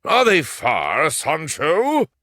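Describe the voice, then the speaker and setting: A man's voice: on the high side, huge pitch swings, very slow, pushed and bright, no echo, clean studio sound, pitched above the performer's own voice, exaggerated voice. A man reading a storybook, voicing a grand, deluded old male knight with lofty, solemn speech.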